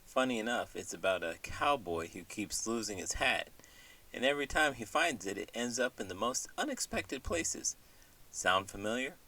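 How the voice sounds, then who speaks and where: A man's voice: lowish voice, expressive, normal speed, bright, forceful tone, recorded from a distance, low-quality recording. A man hosting a bedtime read-aloud, delivering picture-book lines and scripted chat in a soothing storytelling style. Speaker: host and bedtime story reader.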